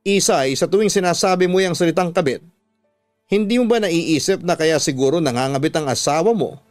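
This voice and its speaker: Lalaking gumaganap na walang-hiyang kabit, mapang-uyam. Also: male voice, on the high side, fairly quick, no room sound, clean studio sound, close to an everyday voice. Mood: taunting. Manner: taunting, unapologetic. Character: brazen, hard-hearted twin sister and mistress. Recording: music bed under the voice.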